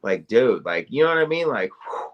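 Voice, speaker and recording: A man's voice: medium pitch, very animated, a little fast, muffled recording. A man co-hosting a casual podcast, rambling and swearing, with constant "like" and "you know" fillers. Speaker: podcast co-host.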